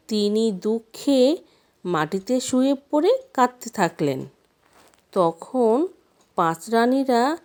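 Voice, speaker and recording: A woman storyteller reads a fairy tale in a mellow, fairly high voice. Her delivery swings up and down expressively, with deliberate pauses between phrases. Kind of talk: scripted fairy tale narration.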